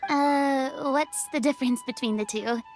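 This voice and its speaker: A woman's voice: sits high, normal speed, faint music behind, lightly acted. A woman playing a polite, earnest young woman who speaks thoughtfully and a little hesitantly in an anime game.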